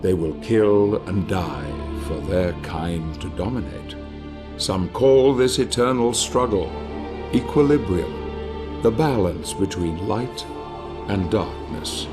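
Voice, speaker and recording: A man playing a grave, solemn narrator of a dark fantasy video game, speaking in a deliberate, portentous way. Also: deep voice, music in the background. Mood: grave, brooding. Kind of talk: dramatic scripted game narration.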